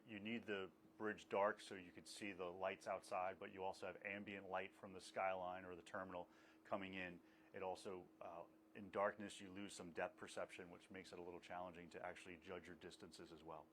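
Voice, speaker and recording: A maritime instructor being interviewed, explaining emergency procedures in a calm, technical way. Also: male voice, low voice, fairly quick, a little hiss or hum.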